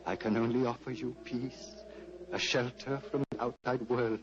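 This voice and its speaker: An actor playing an old, fatherly Tibetan monastery lama in a radio drama, speaking formally and with gentle wistfulness. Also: medium pitch, fairly even intonation, moderate pace, noisy background, lightly acted. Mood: wistful, tender. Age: older. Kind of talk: 1940s radio drama acting. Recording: muffled vintage radio recording.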